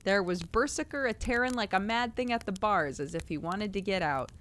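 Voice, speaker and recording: A woman voicing a gruff working-class male zookeeper, dropping his h's in a slow drawl. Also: mid-range voice, a bit bright, slight background noise, natural voice. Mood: grumbling. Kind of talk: reading a Victorian tale aloud.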